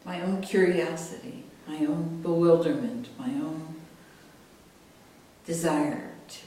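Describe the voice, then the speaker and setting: An American woman in her late sixties speaking as an author, measured, candid and thoughtful. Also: dark tone. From United States. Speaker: author and artist being interviewed. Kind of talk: reflective interview talk and reading aloud from own book. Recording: roomy echo, distant mic, rumbly noise.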